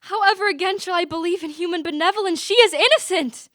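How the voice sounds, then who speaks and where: A woman's voice: extremely high pitch, fast talker, a bit bright, no echo, clean studio sound. An actress playing a tender, fiercely loyal young woman in a radio play, emotional and imploring. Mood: distraught.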